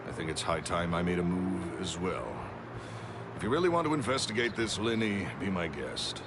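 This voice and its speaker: A low-voiced, menacing businessman from a video game delivers measured, cold threats. His intonation swings widely, and there is clear noise behind him. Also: animated. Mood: cold warning.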